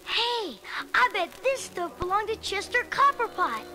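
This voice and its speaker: A woman voicing an earnest, excitable young boy who leads his friends on a treasure hunt in a storybook record. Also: extremely high pitch, unhurried, heavily acted. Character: eager adventurous young boy leader. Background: music.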